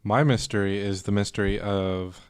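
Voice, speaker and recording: A man with a fairly low voice, recorded very dry with no room sound. He speaks casually as a podcast guest, in a conversational style. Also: fairly even intonation, normal speed, clean tone, no room sound.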